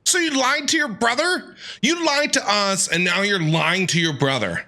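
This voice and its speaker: Bright, animated American man streaming. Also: sits high, normal speed, creaky. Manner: playful, talks back to the characters. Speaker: streamer reacting to a game. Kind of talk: casual reaction commentary. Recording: game music playing behind.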